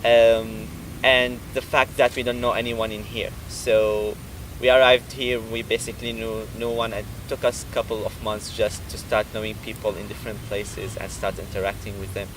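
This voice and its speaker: A young man from Syria, a university student, giving measured interview answers with some hesitant fillers. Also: fairly low pitch, flat delivery. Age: young adult. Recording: distant mic with street noise. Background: street traffic.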